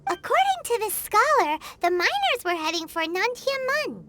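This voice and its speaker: A woman voicing a small, excitable, childlike fairy companion in a video game, squeaky and chirpy with an exclamatory way of talking. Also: moderate pace, noisy background. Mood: curious, chatty. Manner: exclamatory, speaks of self in third person. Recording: music behind the voice.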